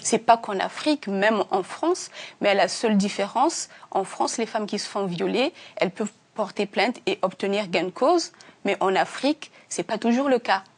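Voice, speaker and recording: A woman's voice: medium pitch, lively intonation, normal speed. Earnest, hesitant woman author. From Africa. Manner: earnest, many fillers and restarts. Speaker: author, interview guest. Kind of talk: hesitant conversational interview answers.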